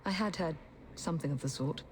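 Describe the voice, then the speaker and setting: An actress playing a sensible, measured young British assassin in a video game. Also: female voice, low voice, darkish tone, slight background noise, lightly acted. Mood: friendly, curious. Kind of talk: video game cutscene acting.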